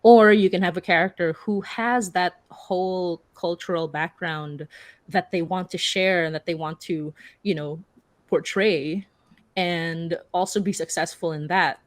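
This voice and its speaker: A woman with a lowish voice speaks casually as a panelist. She is earnest and informal as she explains her thinking, and the sound is dry with very little room on it. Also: no echo.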